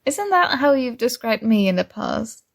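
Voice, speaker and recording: A young woman performing ASMR roleplay as a sweet, oblivious friend, earnestly giving love advice with little hesitant pauses. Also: a little high, big pitch swings, vocal fry. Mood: supportive, upbeat. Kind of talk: soft ASMR roleplay acting.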